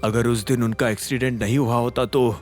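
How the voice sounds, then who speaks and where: A man's voice: mid-range voice, steady tone, a little fast, a little mellow, voice pushed down, close to an everyday voice. A grave, loyal senior ally, played by a male narrator speaks in an audio drama. He sounds serious and confiding, with music faintly beneath. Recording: music under the voice.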